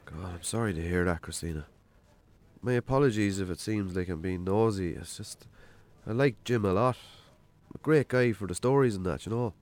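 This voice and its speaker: An actor with an Irish accent playing a gruff, shy, wry single farmer in a naturalistic radio drama. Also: male voice, low-pitched, moderate pace, a little mellow, natural voice. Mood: shocked, sympathetic.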